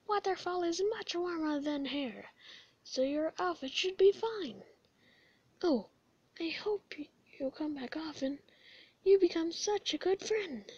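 A woman voicing an excitable, earnest young male skeleton in a comic dub, with dramatic, emphatic delivery. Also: very high-pitched, unhurried, no echo, highs cut off. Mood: fond, wistful.

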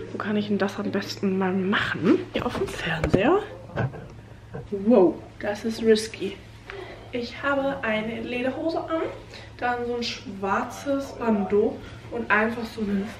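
A young woman with a slightly high voice talks in a relaxed, chatty way straight into her handheld phone camera. A cold has left her sounding stuffy and congested. She is in a hotel room. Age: young adult. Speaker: vlogger. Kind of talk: casual travel vlog. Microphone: handheld phone camera at arm's length.

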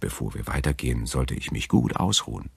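Lazy storybook wanderer, read by a man, plain-spoken, very deep and husky. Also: lively intonation, a little fast, no room sound, heavily acted. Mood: matter-of-fact.

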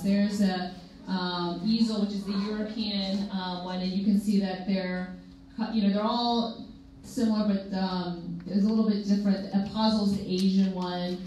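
A woman's voice: mid-range voice, steady tone, dark tone, recorded from a distance. A young woman moderating a conference panel as a clinician, chatty and explanatory. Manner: chatty, explanatory, quick asides. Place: conference room with projector. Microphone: podium mic, filmed from far in the room. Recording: echoey room from afar, faint hiss.

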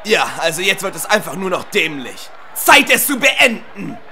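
A man's voice: high-pitched, very animated, normal speed, vocal fry, bright, forceful tone, slight background noise, full character voice. A man playing an arrogant, scornful alien warrior prince in an anime-style fan dub, haughty and sneering. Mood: impatient.

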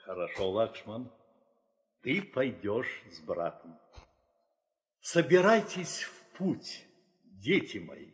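A man's voice: lowish voice, very animated, slow pace, long pauses. An actor playing a weary, authoritative old king and father, solemn and declamatory in a theatrical audio play. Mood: weary, fatherly. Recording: reverberant 1960 audio play record.

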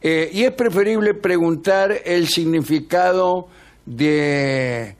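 An Argentine man with a Rioplatense accent and a slightly high voice. He hosts comic radio banter, delivering advice in a deadpan, mock-serious way and repeating himself. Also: slow pace. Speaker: radio comedy show host.

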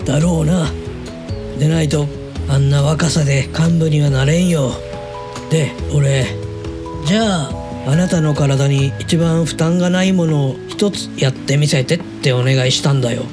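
An easygoing middle-aged uncle voiced by a man speaks in a fairly high voice with music behind him. He is casual and blunt, chatting like a soft touch in an audio drama. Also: normal speed, natural voice. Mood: chatty, amused. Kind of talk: Japanese audio drama dialogue.

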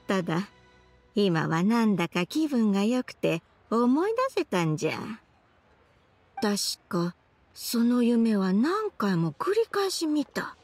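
A woman's voice: medium pitch, big pitch swings, slow pace, darkish tone, no echo, faint music behind. A woman voicing a forgetful, dreamy old grandmother in a Japanese fantasy game, rambling in old-fashioned elderly phrasing. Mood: wistful, rambling. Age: older. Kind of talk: Japanese anime game voice acting.

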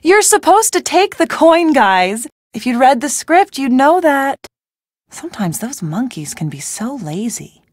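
A woman voicing a young, worried and sardonic friend of a detective in a video game, with an expressive, conversational delivery. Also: high-pitched, measured pace, dry recording, studio quality, heavily acted. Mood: sarcastic.

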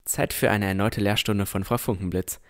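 A cautious boy adventurer, played by a man narrates in the present tense. The voice is fairly low, with a scripted fantasy audio drama delivery full of careful wonder. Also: steady tone, normal speed, close to an everyday voice. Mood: amazed.

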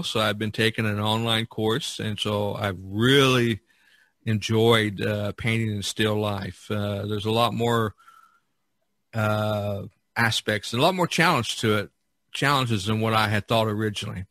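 Male podcast painter, middle-aged or older. Lowish pitch, crisp bright edge, halting conversational delivery. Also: steady tone, no room sound. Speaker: studio painter being interviewed in a role-play. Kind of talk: casual unscripted podcast conversation.

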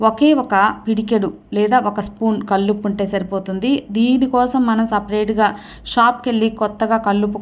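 A woman hosting a devotional channel, explaining to viewers step by step in a repetitive, instructional way. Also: medium pitch. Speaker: host of a devotional remedy channel.

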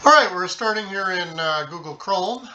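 A middle-aged man giving a casual, unscripted how-to explanation, halting and thinking aloud as he goes. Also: sits high, animated, sharp tone, muffled recording. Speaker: hobbyist host of a DIY tutorial. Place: small indoor home workshop at a desk, later at a computer.

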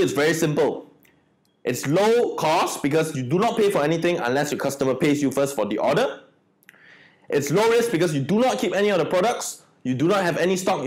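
A young man with a Malaysian accent presents a promotional explainer as a coach. His voice sits a little high, picked up by a lapel mic in a small room. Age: young adult. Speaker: e-commerce coach.

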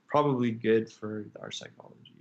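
A man's voice: fairly low pitch, fairly even intonation, moderate pace, a little mellow, dull audio. A young man, a chef, answering interview questions casually over a video call from a room at home, rambling with many fillers and restarts. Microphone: laptop webcam on video call.

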